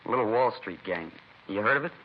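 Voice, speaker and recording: A man acts out a sullen American teenage street kid in an old radio drama. He talks fast and slangy, dodging questions in grudging bursts. The recording is dull, with clear hiss and noise. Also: fairly low pitch, expressive, natural voice. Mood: evasive, defensive. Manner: slangy, evasive, grudging short answers. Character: evasive seventeen-year-old male delinquent, streetwise and sullen. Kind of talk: 1950s radio drama acting.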